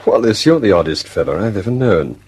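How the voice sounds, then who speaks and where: An actor playing an affable senior colleague in a mid-century radio drama, conversational, a little faltering and mildly skeptical. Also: male voice, fairly low pitch, very animated, fairly quick, a little mellow, husky. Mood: bemused.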